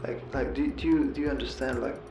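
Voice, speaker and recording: A man giving a heartfelt, confessional voicemail monologue, earnest and reflective, halting as he repeats words and pauses mid-sentence. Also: mid-range voice, fairly even intonation, creaky. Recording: roomy echo, music underneath. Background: music.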